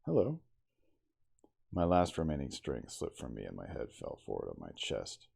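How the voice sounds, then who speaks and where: Very low, gravelly man reading softly. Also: sing-song, a little fast. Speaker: audiobook reader in character as the narrator. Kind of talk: ASMR reading of a classic novel.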